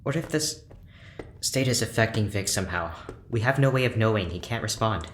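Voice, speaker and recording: An actress playing a worried, apologetic doctor in an audio drama, hesitant and earnest. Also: female voice, a bass voice, expressive, fairly quick, slight background noise. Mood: concerned. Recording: roomy, music underneath.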